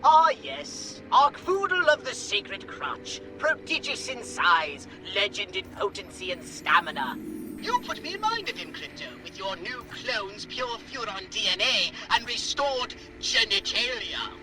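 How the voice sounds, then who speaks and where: A man voicing a pompous, excitable alien commander in a video game, speaking in a grandiose, theatrical, wordy way. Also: very high voice, measured pace, over music, heavily acted, rough recording. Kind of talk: video game cutscene acting.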